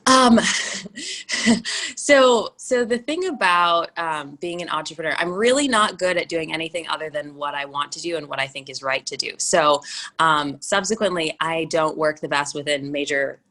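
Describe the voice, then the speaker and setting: A young American woman, a venture investor, talking fast and animatedly in an unscripted interview, with frequent restarts and fillers. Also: low-pitched, a bit bright. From United States. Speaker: venture capital investor, guest.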